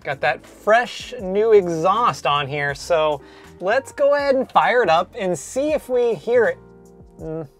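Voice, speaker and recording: A man's voice: high voice, animated, takes it slowly, a bit bright, soft background music. A man in his thirties vlogging about an old car from behind the wheel, with dry, self-deprecating humor. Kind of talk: casual vlog commentary. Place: inside a moving car.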